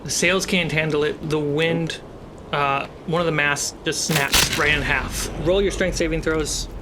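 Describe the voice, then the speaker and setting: A game master narrating a tabletop adventure dramatically, with deliberate, suspenseful pauses. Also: mid-range voice, steady tone, noisy background.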